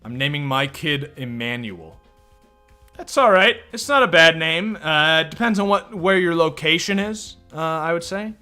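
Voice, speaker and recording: A man's voice: on the high side, animated, normal speed, sharp tone, faint music behind. A man giving casual, profane, rambling commentary while playing a video game and reacting to chat. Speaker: let's play streamer.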